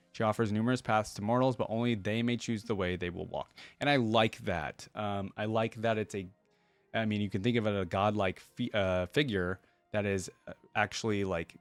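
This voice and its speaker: A man hosting a reaction video, reading text aloud and thinking out loud in casual asides between passages. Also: fairly low pitch, no room sound.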